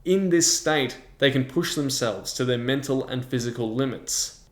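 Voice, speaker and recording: Man, review host, mid-pitched, measured, explanatory, semi-scripted narration, echoey room. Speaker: host of a tabletop game review channel. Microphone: large microphone in front of him.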